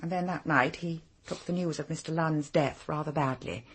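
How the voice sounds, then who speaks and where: A composed, superior female secretary in a British radio drama. Her English voice is fairly low with a husky grain, and her phrasing is formal and clipped. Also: moderate pace. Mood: grave, measured. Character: cool, brisk, haughty female secretary to a powerful man.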